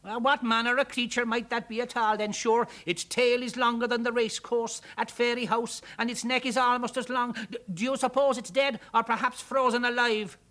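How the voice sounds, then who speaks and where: A narrator voicing excitable, easily alarmed Irish townsfolk in a storybook, with a breathless, wailing lilt. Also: medium pitch, normal speed, few pauses, pitched above the performer's own voice, lightly acted. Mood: marvelling.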